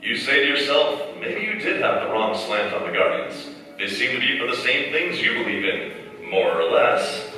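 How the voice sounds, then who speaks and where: Man acting a bright, forceful radio-play narrator. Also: mid-range voice, sing-song, moderate pace, soft background music. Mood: probing, dramatic. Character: probing second-person narrator, an inner conscience. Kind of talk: dramatic 1940s radio narration. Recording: echoey hall, far mic, faint noise.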